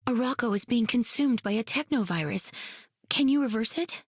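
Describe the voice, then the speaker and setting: Empathic alien game hero, highish husky voice, calm, bit teasing. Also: female voice, normal speed, dry recording, clean studio sound. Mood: steady, grave. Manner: formal, calm, gently teasing. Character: playful, perceptive empathic alien heroine.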